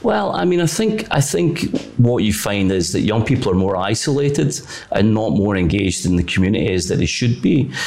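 Low-voiced Scottish man, measured and formal. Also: creaky, a little mellow. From Scotland. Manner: measured, formal and careful, with frequent restarts. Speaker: further education college leader. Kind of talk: political debate discussion.

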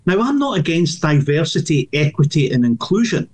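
An older man with a Scottish accent speaks as a guest on a television news programme. His voice is husky and sits a little high for a man. He talks bluntly and emphatically, with frequent restarts. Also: very animated, moderate pace, darkish tone. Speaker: former police superintendent.

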